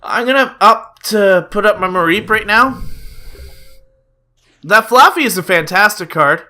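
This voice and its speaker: Young guy narrating his card game, kinda stuffy nose, high sharp voice, music under it. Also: expressive, a little slow. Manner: chatty play-by-play with frequent "uh" fillers. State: slightly nasal from a cold. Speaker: gaming YouTuber. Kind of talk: casual card game commentary. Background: background music.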